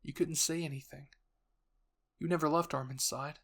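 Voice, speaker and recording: A male narrator with a fairly high voice delivers a scripted dramatic story. He addresses the listener in the second person, as in a roleplay. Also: fairly even intonation, moderate pace, a bit bright. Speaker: narrator and voice actor.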